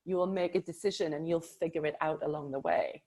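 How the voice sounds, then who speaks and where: An animated woman coach shares her views in a casual conversation. Her voice sits a little low for a woman, and she leans hard on her key points. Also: fairly quick. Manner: animated, emphatic. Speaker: coach and co-host.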